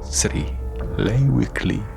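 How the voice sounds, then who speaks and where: A man narrating a scripted radio drama in a solemn, measured storytelling manner. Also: lowish voice, gravelly, over music.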